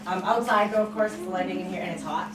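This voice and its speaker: A woman's voice: on the low side, fast. A woman hosting an event, giving informal, rambling announcements to an audience with some hesitation. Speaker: event host. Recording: echoey room from far off, noisy.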